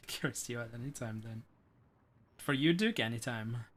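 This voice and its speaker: A man's voice: mid-range voice, a little slow, a bit bright. A man with a Norwegian accent chatting casually on stream, rambling with lots of fillers. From Norway. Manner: rambling, filler-heavy, laid-back. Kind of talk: casual chat during a speedrun stream.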